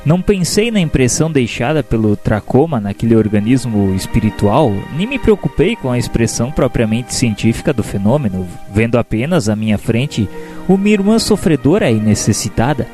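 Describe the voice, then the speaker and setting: A man playing an earnest, humble spirit apprentice who tells his story in solemn, formal, gentle narration for a radio drama. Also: medium pitch, sing-song, normal speed, natural voice. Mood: solemn, reflective. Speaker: narrator and protagonist. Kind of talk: dramatized first-person scripted narration. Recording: soft music behind narration.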